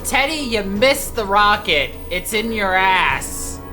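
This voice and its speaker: A woman's voice: mid-range voice, animated, takes it slowly, bright, forceful tone, soft background music. A woman game streamer giving casual, playful, joking commentary on a livestream.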